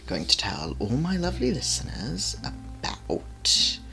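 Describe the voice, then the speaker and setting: A man playing a fussy, whimsical podcaster who mutters softly and playfully to himself and his cat in an audio roleplay. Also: medium pitch, wildly swooping pitch, measured pace, vocal fry, soft background music, heavily acted. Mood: musing, teasing. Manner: soft-spoken, playful muttering to himself and a pet.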